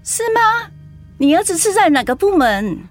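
A woman acting a chatty, nosy young office worker in a radio drama, with an expressive delivery. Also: very high voice, normal speed, dry recording, faint music behind. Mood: polite, awkward. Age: young adult. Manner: gossipy, expressive.

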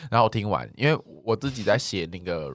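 A man's voice: lowish voice, expressive, fast, no echo, studio quality. A man with a Taiwanese Mandarin accent in casual, joking conversation as a podcast co-host. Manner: joking, teasing banter with co-host. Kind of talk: casual chat.

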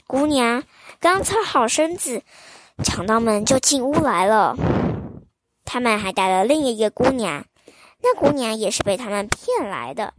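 A woman storyteller reading a fairy tale to children in a slow, expressive, sing-song way. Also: fairly high pitch, dry recording.

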